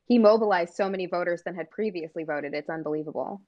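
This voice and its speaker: A woman's voice: fairly low pitch, fast talker. Chatty woman from Maine, lo-fi sound. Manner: chatty, many fillers like "like" and "um". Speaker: co-host. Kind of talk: casual political chat.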